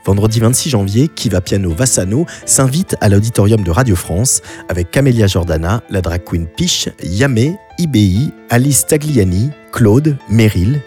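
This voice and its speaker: A male radio presenter reading scripted narration in an even manner. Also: lowish voice, normal speed, few pauses, faint music behind. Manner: even, read announcements.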